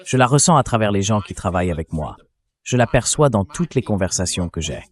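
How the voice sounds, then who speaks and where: Low-pitched synthetic man giving analysis. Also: big pitch swings, a little fast. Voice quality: synthetic AI dubbing voice. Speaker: political commentator. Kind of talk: scripted-sounding translated interview commentary.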